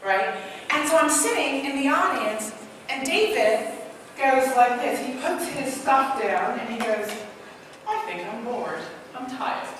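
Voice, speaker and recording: A woman with a Trinidadian accent, a motivational speaker giving an energetic live talk to an audience in a large hall, asking them questions and telling personal stories. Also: high-pitched, measured pace, slight background noise. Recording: echoey hall, mic heard from a distance.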